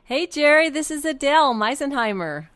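A woman's voice: high voice, expressive, moderate pace, a bit bright, dry recording, clean studio sound. A woman co-hosting a radio show as its producer, talking in a casual, conversational way. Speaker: executive producer and co-host.

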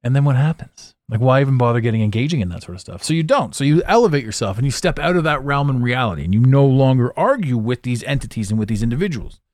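A man hosting a solo podcast, talking intensely and repeating words as his voice swings between loud and quiet. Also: mid-range voice, animated, fast, hardly any pauses, no room sound, clean studio sound. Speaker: podcast host.

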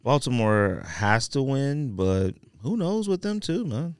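A man's voice: mid-range voice, sing-song, slow, clean tone. A man co-hosting a sports podcast, arguing casually and repeating his phrases for emphasis.

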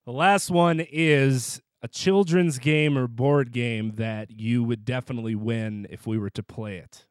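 A man hosting a casual interview podcast, friendly, asking his questions slowly and deliberately. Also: medium pitch, steady tone, no echo, studio quality. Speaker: podcast host.

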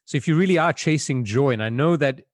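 A mid-pitched man from Belgium speaks as an interview guest over a video call. He answers in a reflective, easygoing way, often pausing with "you know". The sound is dry, with no room echo.

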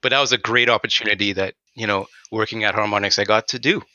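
A man's voice: on the low side, fairly even intonation, quick pace, sharp tone, no echo, dull audio. A man from Trinidad, a game audio designer, talking casually and animatedly with many fillers in an interview.

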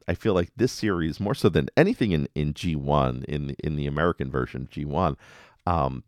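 Male podcast host, low register, animated and conversational, casual fan commentary, recorded dry. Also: no echo.

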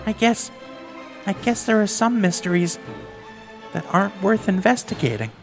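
A man's voice: sits high, sing-song, normal speed, music in the background, voice pushed up. A man voicing a smug, bookish young woman sleuth in a horror parody story. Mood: shaken. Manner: smug, know-it-all.

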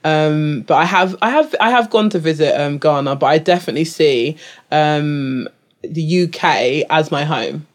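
A woman's voice: sits low, moderate pace. This is a woman talking loosely as a podcast guest. She starts over a lot, fills gaps with ums and likes, and breaks into laughs between thoughts. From England. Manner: animated, full of restarts and fillers, laughs often. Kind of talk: casual interview chat.

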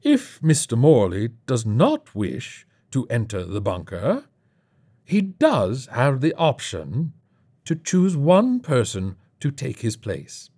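Man voicing a slow, theatrical, menacing bunker overlord. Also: mid-range voice, huge pitch swings, a little mellow, husky, voice pushed up, full character voice. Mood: smugly calm. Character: menacing, smooth male host of a secret bunker.

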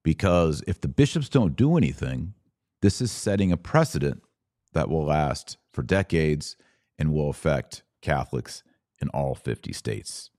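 A man's voice: extremely low pitch, animated, unhurried, a little mellow, dry recording, studio quality. A man hosting a livestream podcast, reading viewer comments aloud and answering them in an earnest, conversational way that rises to indignant emphasis. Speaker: podcast host.

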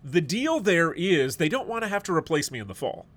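A man's voice: a little high, very animated, a little fast, a bit bright. A middle-aged man hosting a podcast in casual chat, a bit stuffed up and sniffly, rambling and joking. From United States. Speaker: podcast host.

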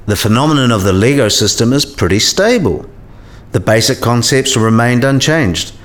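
A man's voice: medium pitch, lively intonation, moderate pace, lightly acted. A man playing a patient, scholarly professor in a radio play, explaining things in a didactic way.

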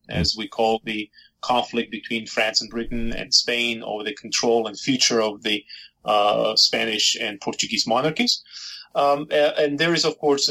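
Gravelly man from Georgia, native Georgian speaker. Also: medium pitch, pushed and bright, rough recording. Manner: thoughtful, many fillers and restarts. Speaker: historian and author. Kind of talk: podcast interview answers.

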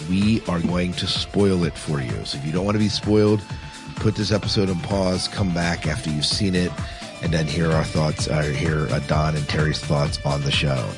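A man hosting a podcast in a casual, informal, rambling way. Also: low voice. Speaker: podcast host.

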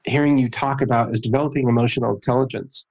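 A man's voice: medium pitch, fairly even intonation, fast, vocal fry, a little mellow, low-quality recording. A man hosting an interview podcast, casually thinking aloud as he builds his questions.

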